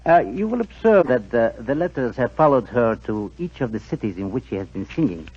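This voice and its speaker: A ruthless, suave Balkan official. His husky delivery is deliberate and formal, with lively swings of pitch. It sounds like a dull, old 1940s radio drama. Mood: coldly formal. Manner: formal, deliberate, hesitating with small pauses.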